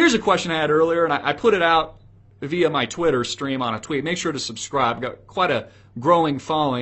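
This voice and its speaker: A man with a notably high-pitched voice delivers solo political commentary as an online host. He is opinionated and preachy, leaning hard on his points and addressing viewers directly with rhetorical questions. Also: a bit bright.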